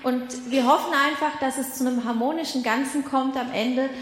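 A woman's voice: sits high, steady tone, normal speed. Nineteen-year-old woman speaking in a hall. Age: late teens. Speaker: Bible school student. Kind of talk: prepared presentation. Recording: echoey hall.

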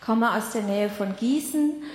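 A nineteen-year-old woman, a Bible school student, calmly explains the group's motto in a prepared talk. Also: fairly high pitch, unhurried. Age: late teens. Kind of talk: prepared presentation. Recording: echoey hall.

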